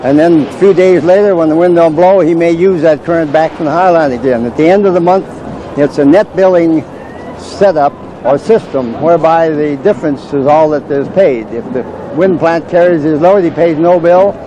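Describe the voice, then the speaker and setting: An older man explains his wind machines in a plain, matter-of-fact way, his voice sitting a little high for a man. He is taped outdoors at a fair on a camcorder, and a clear hiss of background noise runs under his voice. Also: normal speed. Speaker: wind turbine maker. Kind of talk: explaining to fairgoers. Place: outdoor fairground. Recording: noisy outdoor camcorder audio.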